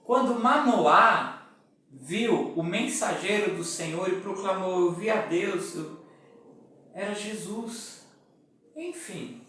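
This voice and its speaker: Brazilian male preacher, high voice, unhurried teaching delivery; far from the mic in a very echoey room. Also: expressive, pushed and bright. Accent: Brazilian Portuguese. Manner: deliberate, spells out letters one by one. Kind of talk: sermon teaching. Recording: echoey room, mic at a distance, faint music.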